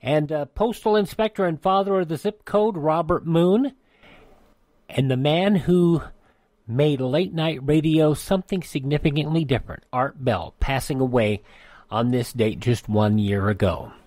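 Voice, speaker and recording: A man's voice: a little high, a little slow, darkish tone, no echo. A man hosting a radio program, reading out dates from history in a conversational way with dry asides. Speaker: radio host.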